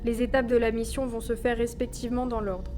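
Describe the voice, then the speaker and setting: A young woman playing a formal spaceship commander, reciting a mission log in measured, official sentences for a sci-fi audio drama. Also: fairly high pitch, level tone, close to an everyday voice. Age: young adult. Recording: clearly noisy, ship ambience behind.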